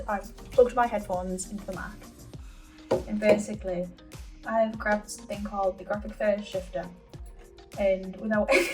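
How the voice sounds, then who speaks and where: A woman's voice: medium pitch, a little mellow, distant mic, rough recording. A woman talking in a casual, chatty vlog style as host, rambling and often interrupting herself. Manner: rambling, halting, self-interrupting. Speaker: video creator and host. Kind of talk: casual chatty vlog about audio production.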